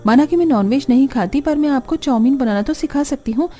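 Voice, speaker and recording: Casting: actress as kindly young wife, fairly high mellow voice, patient cartoon delivery. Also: female voice, fast, lightly acted. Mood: reassuring. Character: helpful, kindly young younger daughter-in-law, patient and practical. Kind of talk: Hindi cartoon story dialogue. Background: music.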